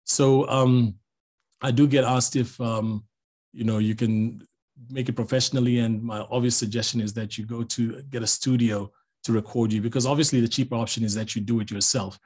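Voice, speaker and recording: A middle-aged man from Zambia, a voice-over artist giving an online master class in a relaxed, chatty way with small jokes, heard over a video call. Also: mid-range voice, normal speed, slightly rough.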